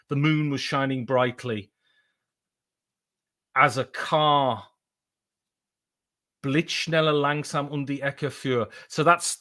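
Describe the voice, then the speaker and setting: A middle-aged man with a bright, forceful voice that sits a little high. He talks casually on a live stream, rambling and emphatic, often stopping to correct himself or answer his chat. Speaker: board game content creator and live streamer.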